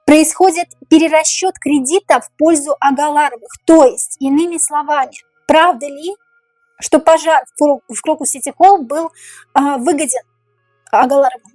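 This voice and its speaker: A woman doing a tarot reading, repeating each question slowly and musing aloud over the cards, her voice tiring and going hoarse. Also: sits high, darkish tone, dry recording, soft background music. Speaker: tarot reader.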